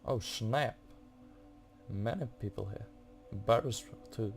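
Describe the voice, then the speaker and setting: A man giving casual playthrough commentary as a gaming video host, halting as he searches for words. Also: lowish voice, vocal fry, soft background music.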